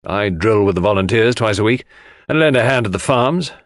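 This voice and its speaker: Man acting a blustering English country gentleman, very animated. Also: fairly low pitch, a little fast, dry recording, clean studio sound. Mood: genial. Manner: slangy, upper-class, blustering. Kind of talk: audiobook character dialogue.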